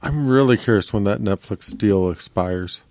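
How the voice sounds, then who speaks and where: A man's voice: on the low side, moderate pace, a little mellow, no echo. Man chatting on a dry-sounding podcast mic. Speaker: podcast co-host. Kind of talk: casual panel chat about comics and TV.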